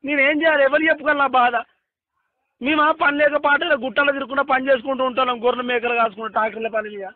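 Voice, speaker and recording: A man's voice: very high voice, steady tone, fast talker, dry recording. A man with a rural Telangana Telugu accent calling customer care, pleading insistently and repetitively in informal, rustic speech over the phone. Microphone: telephone line. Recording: dull lo-fi phone call.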